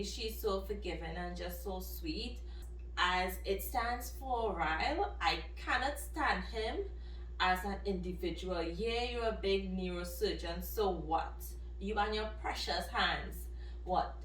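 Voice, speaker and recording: A woman giving casual, expressive book reactions as a vlogger, talking in an emphatic, conversational way. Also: mid-range voice, slight background noise. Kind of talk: casual reaction and review to camera. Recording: roomy echo, distant microphone.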